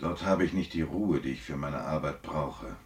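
Investigator, male, very low register, thoughtful and resolute, vintage science fiction radio play. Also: normal speed. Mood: intent. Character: determined male investigator probing a murder, thoughtful and insistent. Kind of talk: 1970s German radio drama acting.

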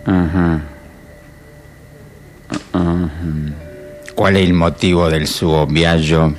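A curt, bored immigration officer, acted by a man in a radio drama. The voice is low and slow, with clipped official questions. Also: level tone, faint music behind. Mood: curt, indifferent. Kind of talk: radio play acting.

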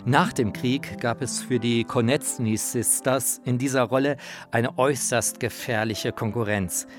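A man's voice: mid-range voice, fairly even intonation, measured pace, over music. A man narrating a radio feature in a colloquial, anecdotal storytelling manner.

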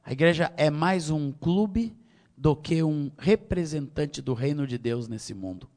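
A middle-aged Brazilian man with a Brazilian Portuguese accent preaching a sermon, swinging from loud high exhortation to quiet low tones. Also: animated, unhurried. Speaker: pastor.

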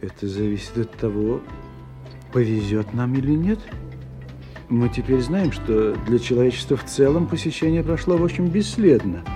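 A man's voice: medium pitch, animated, normal speed, dark tone, close to an everyday voice. An actor playing an aloof, sceptical male scientist with a dry, precise, detached manner in a radio drama. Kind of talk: radio play dialogue. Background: music.

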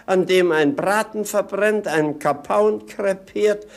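A cheeky, idle clown of a companion in a 1950s radio play. His voice is on the high side for a man. He draws his words out slowly in a theatrical singsong, like a mock philosopher. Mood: mock solemn.

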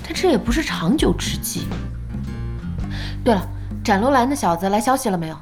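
A brash young woman, animated voice. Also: on the high side, brisk, vocal fry, music in the background. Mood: amused, questioning. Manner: blunt, expressive. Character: brash, impatient young woman, gamer's sharp-tongued ally. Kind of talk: audio drama acting.

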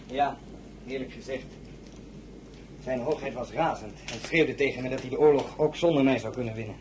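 An actor playing a proud, loyal count serving as a royal military aide, formal and earnest, in an audio drama. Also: male voice, medium pitch, moderate pace, a little mellow, close to an everyday voice, poor audio. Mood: candid. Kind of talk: Dutch audio drama acting.

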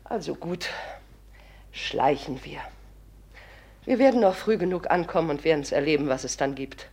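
A woman's voice: low voice, animated, normal speed, a little mellow, breathy edge, heavily acted. An actress playing a domineering middle-aged mother who brusquely scolds and commands, in 1950s radio play style. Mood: scolding. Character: bossy domineering mother of grown daughters.

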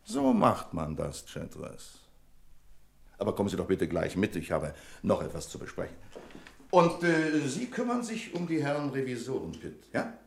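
An actor playing a self-important, fussy and suspicious bank branch manager in a German radio play, with a pompous, officious manner. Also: male voice, medium pitch, wildly swooping pitch, normal speed, creaky. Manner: pompous, officious, delegating with relish.

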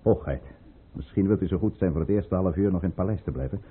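A man playing a proud, calculating governor at court in a period radio play, formal and theatrical, with a husky voice. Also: low voice. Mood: deferential, measured. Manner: formal, measured, theatrically indignant or deferential. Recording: muffled, tinny old radio recording.

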